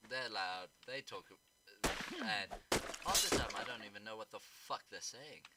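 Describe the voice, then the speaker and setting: Teenage boy from South Africa, very low voice, rambling sarcastic chat, loud noise behind. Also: lively intonation, measured pace. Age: teens. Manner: rambling, sarcastic, swears casually. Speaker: gaming YouTuber. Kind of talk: rambling casual chat while gaming.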